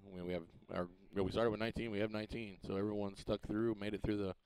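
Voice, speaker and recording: A man coaching high school baseball, giving a relaxed, matter-of-fact pregame interview in a ballfield press box, with lots of "uh" and "you know". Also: fast talker, smooth, low-quality recording. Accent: Missouri.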